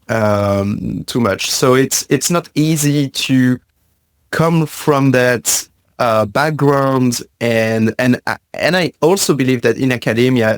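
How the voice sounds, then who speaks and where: A man from Belgium speaks as a podcast guest, thinking out loud and circling back over his words with many small pauses and fillers. His voice sits at an ordinary middle pitch. The recording is dry and close, with no room sound. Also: no room sound. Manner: thoughtful, halting, frequent fillers and repeated words. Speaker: podcast guest, science communicator. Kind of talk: conversational interview answer.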